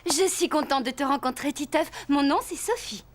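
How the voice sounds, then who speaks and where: A woman's voice: sits high, normal speed, background noise, close to an everyday voice. A woman voicing a chirpy, bossy teenage babysitter in a French cartoon, with singsong delivery. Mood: polite, chirpy. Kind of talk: French animated cartoon voice acting. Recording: music behind the voice.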